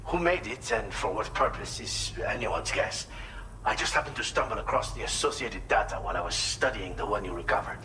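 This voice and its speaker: An actor playing a thoughtful, helpful mechanic in a video game, explaining things in a measured, slightly hesitant way. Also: male voice, fairly low pitch, animated, bright, forceful tone, a little hiss or hum, rough recording. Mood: explanatory, wary. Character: thoughtful male inventor mechanic, earnest and helpful. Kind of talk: video game cutscene dialogue.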